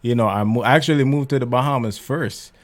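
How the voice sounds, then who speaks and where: A man's voice: medium pitch, fairly quick. Easygoing Jamaican man telling his story. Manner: candid, easygoing, laughs often. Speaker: guest minister. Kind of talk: casual interview chat.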